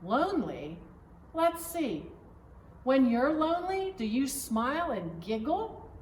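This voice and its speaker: A woman voicing a gentle, playful father telling a story to his daughter, as a picture-book read-aloud. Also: high-pitched, big pitch swings, slow, heavily acted. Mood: playful, patient. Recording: roomy, distant mic, faint background noise.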